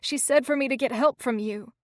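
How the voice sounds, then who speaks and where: A scared teenage girl on the run, as a woman reads her, talking in rough, broken grammar. Her voice sits high and tight in a dry, close storybook reading. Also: a little fast, dry recording, natural voice. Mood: frightened. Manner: rough, ungrammatical speech. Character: frightened teenage girl, battered runaway.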